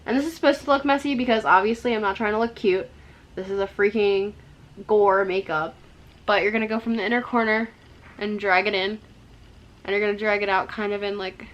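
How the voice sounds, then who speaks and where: A young woman giving a chatty, rambling makeup tutorial, her voice a little hoarse from a cold. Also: medium pitch, a bit bright, dull audio. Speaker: makeup vlogger.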